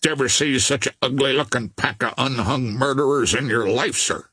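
A man voicing a blunt, suspicious yacht captain in an audiobook, gruff and plain-spoken. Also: medium pitch, a little fast, pushed and bright, dry recording. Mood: suspicious, uneasy.

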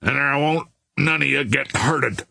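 A man voicing a menacing, uneducated pirate skipper in an audiobook, rough and commanding. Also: medium pitch, a little slow, bright, forceful tone, dry recording, voice pushed up, exaggerated voice.

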